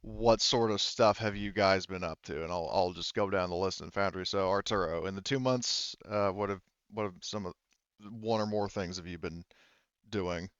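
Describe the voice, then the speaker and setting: A man's voice: low voice, normal speed, a bit bright, clean tone, no echo, lo-fi sound. A man acting as game master, explaining the game world to players in a hesitant, explanatory way. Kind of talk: improvised tabletop game narration and explanation.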